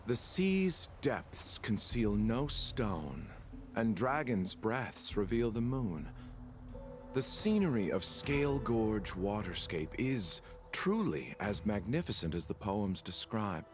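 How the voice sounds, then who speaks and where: An actor voicing a courteous, composed wandering merchant who speaks politely with a faint wry edge. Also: male voice, lowish voice, big pitch swings, takes it slowly. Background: game music.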